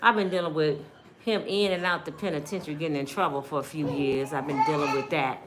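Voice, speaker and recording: An American woman telling a personal story to viewers in a blunt, expressive way, acting out dialogue as she goes. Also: low voice, quick pace, a little hiss or hum. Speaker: storytime vlogger.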